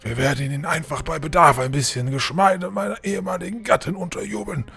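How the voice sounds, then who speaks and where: A man's voice: fairly high pitch, steady tone, fast talker, vocal fry, sharp tone, breathy edge, exaggerated voice. A man playing a scheming, cruel old lord in a fantasy audio drama, with a pompous, sneering delivery. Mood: calculating.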